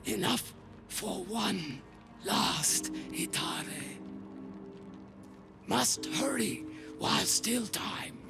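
Game role: aged alien mystic, croaking rasp, halting broken English, pitched fairly high with swooping, solemn delivery. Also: male voice, lively intonation, full character voice. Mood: urgent, fearful. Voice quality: croaky, breathy, rasping. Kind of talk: video game cutscene acting. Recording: music under the voice.

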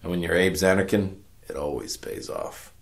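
Cocky, sardonic private eye, acted by a man, deep and deadpan, narrating a comic radio noir. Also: moderate pace. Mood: wry, smug. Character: cocky, wry hardboiled investigator narrating his case.

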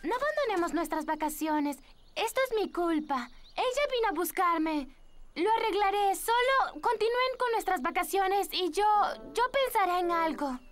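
Sweet cartoon girl idol: extremely high, animated, earnest and considerate. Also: normal speed, a little hiss or hum. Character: sweet, considerate girl pop star on vacation. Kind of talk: children's cartoon dub.

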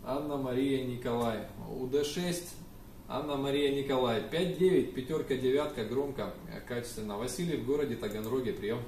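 A man's voice: mid-range voice, moderate pace, a little mellow. A young man working as an amateur radio operator, making contacts and spelling out call signs. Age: young adult. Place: small indoor room with radio desk and computers. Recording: echoey room, distant mic, faint noise.

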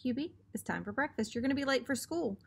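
Woman reading as a high-voiced, sensible storybook mom. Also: animated, fast, vocal fry, slightly rough, full character voice. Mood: calling. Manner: motherly, reasoning. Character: caring, sensible mother of a schoolboy.

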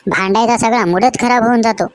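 A woman playing a sassy, quick-witted wife in a cartoon comedy skit. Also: fairly high pitch, noisy background, natural voice. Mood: sassy, teasing.

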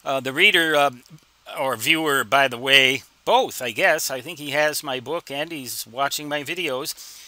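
Very bright-voiced man giving an informal tutorial. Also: medium pitch, no echo. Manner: wry, folksy humor, deliberate phrasing. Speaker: instructor answering reader questions.

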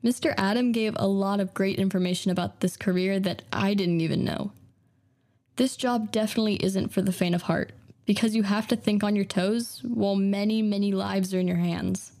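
Young girl hosting a podcast, soft dark tone, medium pitch. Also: fairly even intonation, normal speed. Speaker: podcast host and interviewer. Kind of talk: interview questions and scripted outro.

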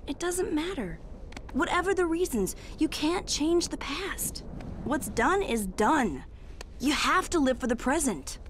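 A flirty, taunting female thief from a video game, carefree and hungry for thrills. Her voice is high and lively, with background sound behind it. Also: slow, slight background noise, full character voice. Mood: dismissive, coaxing. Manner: teasing, sultry, taunting.

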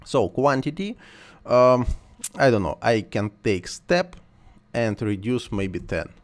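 A man whose first language is Russian or Ukrainian, talking through a screen-recorded software tutorial while he works, in simple, halting English. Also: mid-range voice, big pitch swings. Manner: thinks aloud while working, simple non-native phrasing.

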